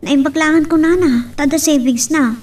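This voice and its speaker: A worried young daughter in an Ilocano drama, acted by a woman. Her voice sits high, picked up slightly away from the microphone. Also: normal speed, darkish tone, recorded from a distance, lightly acted. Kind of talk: Ilocano family radio-style drama acting.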